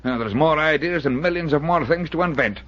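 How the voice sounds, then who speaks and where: An actor playing a kindly, hopeful older amateur inventor in a radio drama, with a folksy, halting, chuckling manner. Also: male voice, a little high, fairly bright tone, lightly acted. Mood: proud, contented. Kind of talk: old-time radio drama acting. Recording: dull vintage radio recording.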